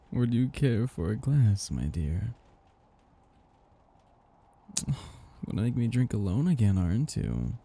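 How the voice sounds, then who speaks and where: A man playing an ancient vampire master in an intimate ASMR roleplay, possessive and gently domineering, with a languid, teasing, velvety delivery. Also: on the low side, vocal fry, breathy edge, full character voice. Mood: wistful, coaxing. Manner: languid, teasing, condescending endearments, soft chuckles.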